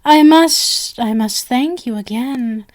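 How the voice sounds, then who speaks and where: Woman playing a slow, high-voiced sinister lady magician. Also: a little mellow. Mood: attentive, flattering. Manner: theatrical, flowery, coaxing, drifting into whispers. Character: sinister female stage magician, genial, flowery, possessive. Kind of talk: ASMR roleplay acting.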